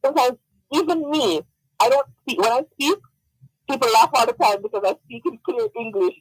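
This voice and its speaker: A man from Jamaica, a guest in a casual radio interview, who talks animatedly, laughs often and repeats words when excited. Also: very high voice, brisk, husky, no room sound, low-quality recording.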